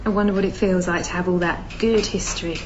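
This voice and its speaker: A woman's voice: on the low side, fairly even intonation, fast talker, muffled recording, close to an everyday voice. An actress playing a wry, frustrated young girlfriend with a plain, intimate manner in a naturalistic radio drama. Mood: wry, wistful.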